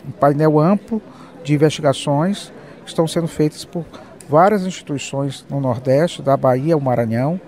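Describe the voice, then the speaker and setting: Brazilian guy, professor giving a considered interview answer, slightly high voice. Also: a little mellow. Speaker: professor and event organizer being interviewed.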